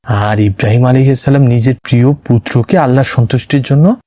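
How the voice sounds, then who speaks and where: Mellow, patient cartoon father played by a man. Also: mid-range voice, brisk, no room sound, natural voice. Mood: solemn storytelling. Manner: patient, explanatory. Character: patient, devout father teaching his young son. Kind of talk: Islamic cartoon dialogue, storytelling.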